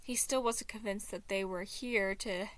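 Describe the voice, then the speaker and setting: A woman reading a scripted story as narrator, with expressive delivery. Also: mid-range voice, a little slow. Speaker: fan fiction narrator.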